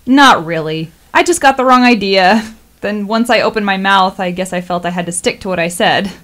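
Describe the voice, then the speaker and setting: A woman in a radio play acting a friendly, idealistic single traveller, animated yet tentative. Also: medium pitch, moderate pace, lightly acted.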